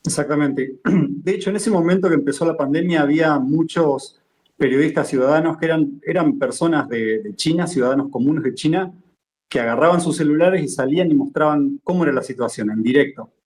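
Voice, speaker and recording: Argentine guy journalist explaining stuff, keeps asking "¿sí?", somewhat high voice. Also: fairly quick, darkish tone, poor audio. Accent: Argentine Spanish. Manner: explanatory, checks in with "¿sí?". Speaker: guest journalist. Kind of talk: interview conversation.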